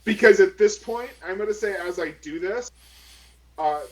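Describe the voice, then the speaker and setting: A man's voice: high voice, normal speed, low-quality recording. A man playing a tabletop role-playing game, casually improvising what his character does in a rambling, profane way.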